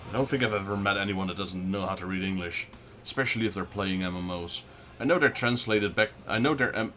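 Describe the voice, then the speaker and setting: Dutch-accented man, lowish voice, chatty gaming commentary, faint game music behind. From Netherlands. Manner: chatty, talks back to viewer chat. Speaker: let's play host. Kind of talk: casual gameplay commentary.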